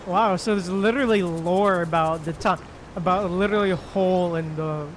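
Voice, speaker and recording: A man's voice: high-pitched, steady tone, normal speed, slight background noise. A man streaming a video game with casual, easygoing live commentary over game music.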